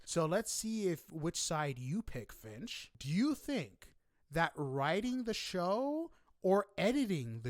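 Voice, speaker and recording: A man hosting a podcast, chatting casually with a guest in an animated, emphatic and informal way. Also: on the high side, no room sound, clean studio sound. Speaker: podcast host interviewing guests. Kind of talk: casual interview chat.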